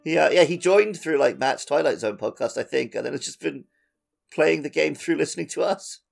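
Man, podcast host, casual talk, creaky voice, light guitar music in background. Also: on the high side, animated, brisk.

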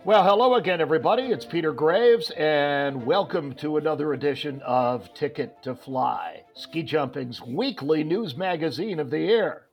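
A man's voice: a little high, lively intonation, moderate pace, creaky, few pauses, muffled recording, soft background music. A man hosting a sports interview, talking in a rambling way with many fillers and restarts. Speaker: host.

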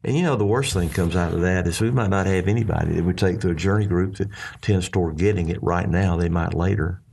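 A man's voice: deep voice, quick pace, gravelly, darkish tone, slightly rough. A man with a Southern US accent, talking about faith in an earnest, folksy way and often restarting his sentences. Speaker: ministry leader. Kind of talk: casual faith discussion.

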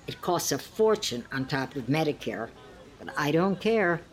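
A woman's voice: deep voice, sing-song, normal speed. A woman playing a genteel, judgmental elderly friend in an audio play, prim and sighing, with politely pointed barbs. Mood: chatty, content. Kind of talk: scripted two-hander audio comedy-drama.

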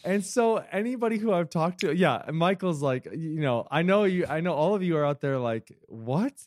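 A man's voice: on the high side, lively intonation, moderate pace, no room sound, clean studio sound. A man co-hosting a podcast, telling stories in a rambling, self-deprecating way. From United States. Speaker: podcast co-host. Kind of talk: casual chat.

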